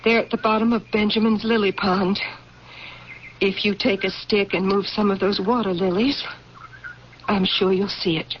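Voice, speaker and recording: A woman's voice: medium pitch, fairly even intonation, moderate pace, lightly acted. Grieving, resolute military widow, played by a woman. Formal, impassioned radio drama delivery, muffled vintage sound with light hiss. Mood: confessional, anguished. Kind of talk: old-time radio drama acting. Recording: muffled, hissy old radio recording.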